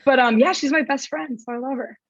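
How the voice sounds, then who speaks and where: A young woman with a raspy voice, chatting casually as a podcast guest and saying "like" a lot. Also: high-pitched, fairly quick, lo-fi sound. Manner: chatty, heavy use of "like". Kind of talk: casual chat.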